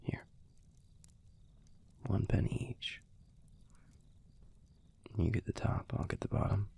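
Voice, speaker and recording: A man playing a tender, wistful werewolf boyfriend in an intimate audio roleplay, speaking in a hushed, halting, husky and breathy voice with a gravelly edge. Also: fairly low pitch, fairly even intonation, whispered, exaggerated voice. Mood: gentle, warm. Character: tender, wistful young male werewolf pack leader boyfriend.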